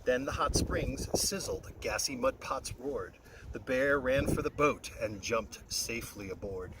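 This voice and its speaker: A man reading a rhyming children's story aloud in an expressive, singsong way. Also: mid-range voice, a little slow. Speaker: storybook reader. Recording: very noisy, windy, distant-mic recording.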